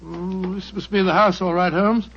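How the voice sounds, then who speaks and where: An actor playing a loyal, flustered British gentleman doctor, earnest and exclamatory, in an old-time radio drama. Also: high-pitched, fairly even intonation, moderate pace, husky, full character voice. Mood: uneasy. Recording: dull, faintly hissy vintage radio recording.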